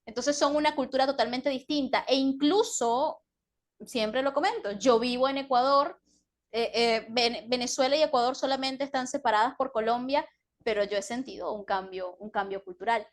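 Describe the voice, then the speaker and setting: A woman's voice: sits high, moderate pace. A Latin American woman hosting a casual interview, prompting her guest with friendly questions. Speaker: podcast host.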